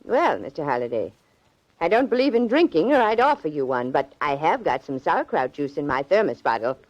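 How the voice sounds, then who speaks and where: Old spinster lady, forthright, prim, lowish lively voice, 1940s radio drama, muffled vintage recording. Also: brisk, dry recording. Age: older. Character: brisk, no-nonsense old spinster lady, eccentric and plucky. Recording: dull, band-limited vintage radio recording.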